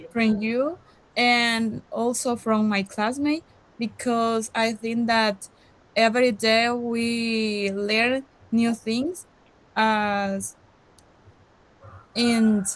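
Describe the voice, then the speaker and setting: A woman in her twenties from El Salvador introduces herself in an English class. She speaks very slowly, pausing between words, with a somewhat high voice. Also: low-quality recording. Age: 20s. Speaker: student.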